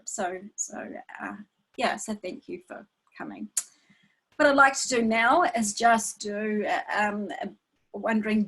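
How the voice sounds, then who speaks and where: A woman with a New Zealand accent hosts an online meeting over a video call. She talks casually, with plenty of ums and light laughs, and her voice has a soft, breathy edge at middling pitch. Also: poor audio. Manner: chatty, laughs easily, many fillers. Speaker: session host.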